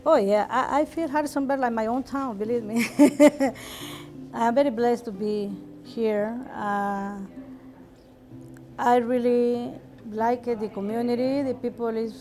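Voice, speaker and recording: A woman's voice: a little high, warm tone. A woman who owns a salon speaks, plainspoken and repeating her phrases. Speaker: salon owner. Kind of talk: personal documentary interview.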